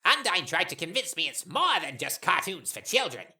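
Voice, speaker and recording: A woman's voice: on the low side, very animated, normal speed, sharp tone, heavily acted. A woman playing a proud, self-important monster companion in an audio drama, with a pompous, theatrical delivery. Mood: snooty. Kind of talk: amateur audio drama acting.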